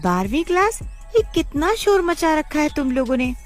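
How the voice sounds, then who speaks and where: A woman voicing an earnest young female teacher in a cartoon story, with emphatic, expressive delivery. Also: high voice, moderate pace, soft background music, pitched above the performer's own voice. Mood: angry, scolding. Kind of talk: animated horror-moral story character acting.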